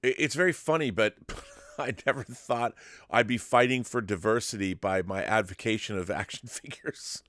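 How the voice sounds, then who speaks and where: Male talk host, lower register, halting casual fan chatter, close dry sound. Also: fairly bright tone, no echo. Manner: halting, repeats and restarts phrases.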